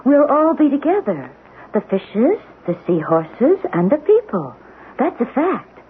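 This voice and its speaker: A childlike, gently deluded woman in an old radio play. Her high voice swings in pitch as she speaks with simple, earnest certainty, on a thin, tinny vintage recording. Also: big pitch swings, measured pace, slight background noise. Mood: dreamy certainty. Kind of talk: old-time radio drama acting.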